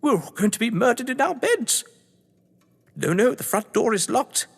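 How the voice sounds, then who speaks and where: Man putting on a high, fretful old woman. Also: very animated, moderate pace, gravelly, a bit bright, breathy edge, full character voice. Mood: fearful, cooing. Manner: fretful, cooing. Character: timid, silly old woman fretting to her cat. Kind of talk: audio drama acting. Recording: long hall-like reverb.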